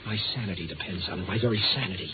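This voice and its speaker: An actor playing a desperate, panic-stricken man afraid of the dark, with halting, anguished delivery in a 1950s radio drama. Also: sits low, close to an everyday voice. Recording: noisy, phone-like old radio recording.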